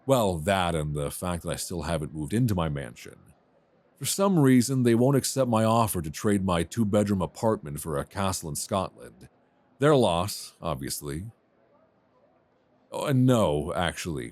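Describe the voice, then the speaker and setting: Man acting as a very deep-voiced, chatty romantic traveler. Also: lively intonation, moderate pace, a little mellow, no room sound. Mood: playful, wry. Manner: rambling, hesitant, self-deprecating, chuckling. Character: chatty, flustered, warmly flirtatious male airline passenger. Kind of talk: romance ASMR roleplay audio drama. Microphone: close binaural microphone.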